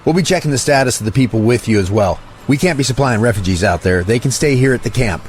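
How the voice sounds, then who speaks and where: An actor playing a polite but unyielding soldier at a checkpoint in an audio drama. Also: male voice, mid-range voice, expressive, fast, slight background noise, natural voice. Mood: curt, official.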